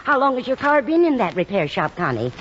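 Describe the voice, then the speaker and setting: Dotty landlady, high lilting voice. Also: big pitch swings, brisk. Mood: earnest, chatty. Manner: earnest, scatterbrained. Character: dotty, kindly, chatty older landlady. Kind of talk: old-time radio sitcom acting. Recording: dull, faintly noisy vintage radio recording.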